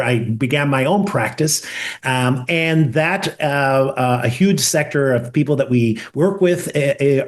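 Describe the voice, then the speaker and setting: A middle-aged man with a Northern Irish accent, talking reflectively as an interview guest in a measured, halting way. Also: medium pitch. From Northern Ireland. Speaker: podcast guest, conflict resolution expert.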